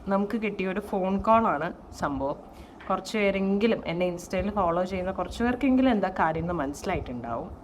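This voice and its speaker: A woman telling a story in a casual, animated vlog style, mixing in English phrases. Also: medium pitch, fairly quick. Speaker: vlogger and saree store owner. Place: at home, indoors. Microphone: no external microphone, camera's own mic. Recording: faint noise, no microphone.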